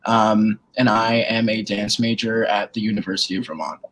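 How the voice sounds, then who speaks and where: A man of twenty from New York, a dance student on a panel, speaking in a slow, measured way. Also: on the low side, fairly even intonation, fairly bright tone, a little hiss or hum, poor audio. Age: 20s. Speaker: college student guest.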